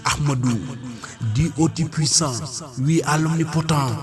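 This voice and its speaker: A man reciting devotional poetry as a solemn, pleading prayer, declaiming it in measured short phrases. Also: fairly high pitch, fairly even intonation, over music. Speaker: poet reciting prayer poems.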